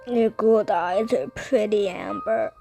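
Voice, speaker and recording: Female gamer, medium pitch, unhurried, gravelly fry, informal side comments, game music behind. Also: low-quality recording. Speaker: gaming video creator. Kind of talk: casual reactions over gameplay.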